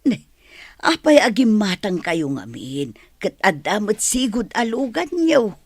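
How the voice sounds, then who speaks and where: Older Ilocano village mother in a radio play: raspy, thrifty, doubting, with very animated intonation. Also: mid-range voice, unhurried, no echo, clean studio sound. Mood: suspicious. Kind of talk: radio drama acting.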